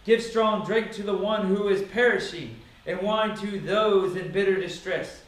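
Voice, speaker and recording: A man giving a dramatic, solemn reading of scripture, declaiming each phrase deliberately. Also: high voice. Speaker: Bible reader. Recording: very echoey, reverberant sound.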